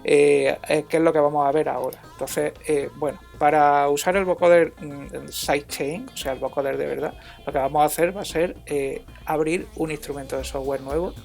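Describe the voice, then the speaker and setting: A man narrating an informal music software tutorial as an instructor, in a halting, hesitant way. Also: on the high side, steady tone, vocal fry.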